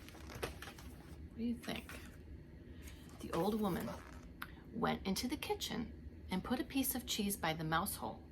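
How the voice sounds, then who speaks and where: Woman, preschool storyteller, lively swooping intonation, theatrical drawn-out words, speaks to the listeners, some background noise. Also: medium pitch. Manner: theatrical, drawing out action words and addressing listeners. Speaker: preschool teacher reading a picture book aloud. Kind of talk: animated read-aloud storytelling for young children.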